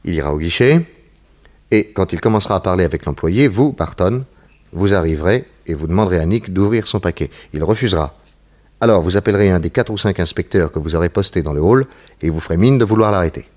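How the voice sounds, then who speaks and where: An actor playing a shrewd, self-assured undercover detective in a 1960s French radio serial, with a brisk, conversational, commanding way of talking. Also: male voice, deep voice, lively intonation, a little mellow. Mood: confident, instructing.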